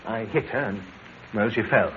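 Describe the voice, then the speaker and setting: An actor playing a nervous, stammering murder suspect in a vintage radio drama. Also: male voice, lowish voice, moderate pace, fairly bright tone, big-room sound, close to an everyday voice. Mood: confessing. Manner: fretful, stammering, self-justifying. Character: nervous middle-class murder suspect, guilty, indignant. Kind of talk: 1950s radio drama acting. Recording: tinny noisy old radio broadcast.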